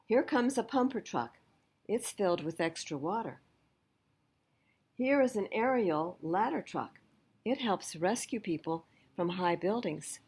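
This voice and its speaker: Lady doing storytime for little kids, slightly mellow voice thats a bit husky, explains stuff simply. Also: mid-range voice, lively intonation, measured pace. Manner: explains things simply to young listeners. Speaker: children's library storyteller. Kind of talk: read-aloud picture book storytelling with asides to children.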